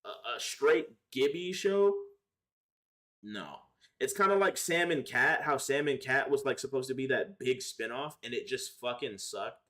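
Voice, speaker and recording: A man's voice: medium pitch, expressive, measured pace, fairly bright tone. A young man chatting casually on a livestream, answering viewers in slangy, profane, opinionated talk. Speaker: live streamer.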